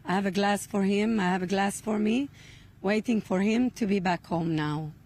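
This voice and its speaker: A middle-aged woman from Sweden, giving earnest interview answers outdoors in simple, deliberate English. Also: medium pitch, a little mellow. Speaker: interviewee, hostage's relative. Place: outdoors near a rural kibbutz.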